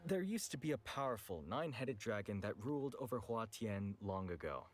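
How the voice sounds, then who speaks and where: A frank, animated weapons merchant. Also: male voice, fairly low pitch, fairly quick. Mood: grave storytelling. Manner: casual, blunt, expressive. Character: frank, world-weary male weapons merchant, grandson of a shopkeeper. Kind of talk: scripted game voice acting. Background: background music.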